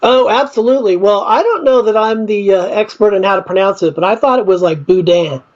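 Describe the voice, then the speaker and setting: A man's voice: high-pitched, a little fast. Upbeat man on a dull lo-fi line. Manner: upbeat and enthusiastic, laughs easily. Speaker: record label owner, podcast guest. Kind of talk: casual podcast interview.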